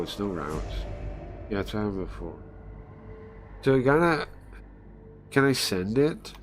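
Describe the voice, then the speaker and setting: A man livestreaming casually, thinking aloud, muttering to himself and repeating phrases as he goes. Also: sits low.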